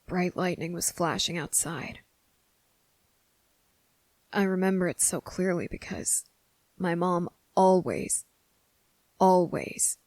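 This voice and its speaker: A woman voicing a guarded, fed-up young boy in a hushed, halting ASMR roleplay monologue. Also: lowish voice, gravelly, no echo, heavily acted. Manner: hushed, halting, pauses mid-thought.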